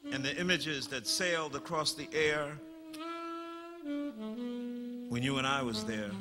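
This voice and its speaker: A man performing dramatic spoken-word poetry, emphatic and incantatory, with drawn-out words and repeated phrases. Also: mid-range voice. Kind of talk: dramatic political poetry performance over saxophone. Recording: long hall reverb, saxophone playing along. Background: live saxophone music.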